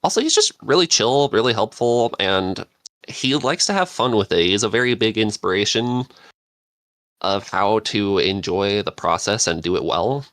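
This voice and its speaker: Very deep-voiced man chatting casually. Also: expressive, normal speed, a bit bright, no echo. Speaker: podcast panelist, script writer. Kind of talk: casual advice-giving in a podcast Q&A.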